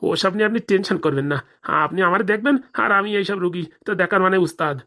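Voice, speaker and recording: A woman's voice: mid-range voice, fast talker, fairly bright tone, close to an everyday voice. A woman voicing a greedy, boastful, crooked male village doctor in a comic cartoon drama, with a brash colloquial manner. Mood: haggling.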